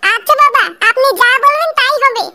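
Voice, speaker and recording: A performer voicing a naive, pleading young village wife in a comic cartoon, coaxing and wheedling in a pitched-up voice. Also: brisk, pushed and bright, full character voice. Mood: pleading, hopeful. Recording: very noisy cartoon soundtrack.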